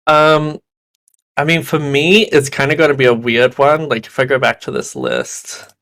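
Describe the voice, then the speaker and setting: A young man who is slightly hoarse from being sick, giving a game development talk over a video call with many ums and restarts. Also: mid-range voice, expressive, normal speed, a bit bright. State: hoarse, losing his voice. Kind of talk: presentation talk.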